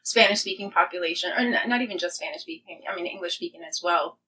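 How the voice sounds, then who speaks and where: Woman podcast guest, bright forceful voice, earnest n reflective, mic sounds a bit far off. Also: lowish voice, fast, slight background noise. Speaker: playwright guest. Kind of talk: conversational podcast interview.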